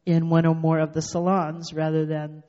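A woman, the club secretary, reading out meeting minutes in a steady way. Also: low voice, moderate pace. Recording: muffled echoey hall sound.

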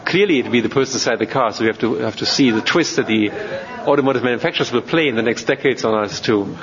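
A man from Germany with a German accent gives an informal technical talk as a robotics researcher, speaking haltingly with many ums and repeated words. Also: medium pitch. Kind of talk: informal technical lecture to an audience. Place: large reverberant hall, speaking to an audience. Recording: muffled, noisy, reverberant hall.

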